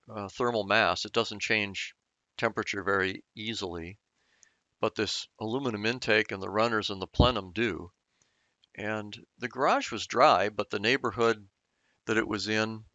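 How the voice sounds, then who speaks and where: Man, lowish bright clear voice, hesitant technical explainer, dry dull voice-over. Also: dry recording. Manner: thoughtful, hesitant, many fillers and restarts. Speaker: channel host explaining engine repair.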